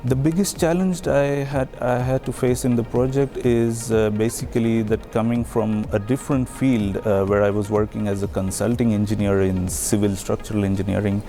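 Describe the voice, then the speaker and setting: Guy from india, low mellow voice with some gravel, answers slow with lots of uhs. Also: steady tone, soft background music. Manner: measured, with many pauses and fillers. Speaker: PhD researcher. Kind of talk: recorded interview answers.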